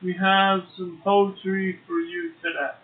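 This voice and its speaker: A man hosting a poetry show, speaking and reading verse in a slow, halting way with drawn-out phrases. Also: sits high, little pitch movement. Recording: tinny phone line, echoey.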